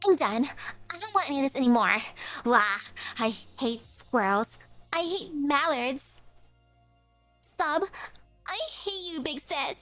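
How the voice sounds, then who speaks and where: Woman voicing a clingy crybaby younger sister, high wailing voice, soft music under it. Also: lively intonation, a little slow, long pauses. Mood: whiny. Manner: sobbing, whiny, high-pitched wailing. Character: tearful childish young girl, clingy little sister.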